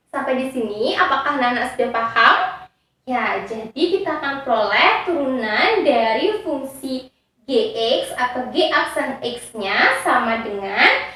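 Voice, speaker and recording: Woman math teacher, patient and repetitive, slightly high voice, recorded from a distance in an echoey room. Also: bright, forceful tone. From Indonesia. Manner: patient, repeats steps, checks with students. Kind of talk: recorded math lesson for students. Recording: roomy echo, voice from far off.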